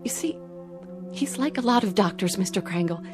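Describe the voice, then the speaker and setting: An actress playing an aggrieved, earnest wife in an audio drama, speaking in a measured, pointed, pleading way. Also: female voice, mid-range voice, lively intonation, over music, natural voice. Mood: pained, earnest. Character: aggrieved doctor's wife, earnest, quietly confronting her husband's tormentor.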